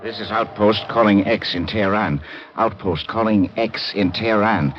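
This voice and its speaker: A terse field agent calling from an outpost in a fifties radio play. His low voice is urgent and comes through a dull, noisy shortwave transmission. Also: measured pace. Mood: urgent, secretive. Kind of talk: 1950s radio drama acting. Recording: muffled, noisy old radio recording.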